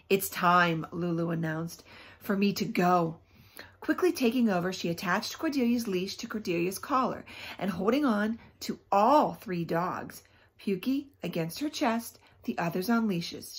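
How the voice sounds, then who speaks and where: A woman teacher reads a children's book aloud expressively, pausing often for emphasis and to put questions to young listeners. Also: mid-range voice, breathy edge.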